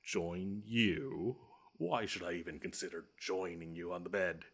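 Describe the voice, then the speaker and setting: Audio drama: pompous, grumbling male bed monster, man performing, low rough register, sardonic and menacing. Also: fairly bright tone, exaggerated voice. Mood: grudging. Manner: pompous, wordy, drawn-out menace with dry sarcasm. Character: grumpy, pompous male monster lurking under a child's bed. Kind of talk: scripted audio roleplay monologue.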